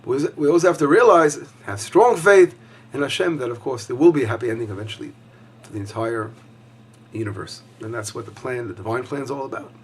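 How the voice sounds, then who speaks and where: A man with a husky, hoarse voice gives a relaxed, conversational Torah lesson. He speaks at a middling pitch, often pauses on fillers and restarts his sentences as he teaches. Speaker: rabbi.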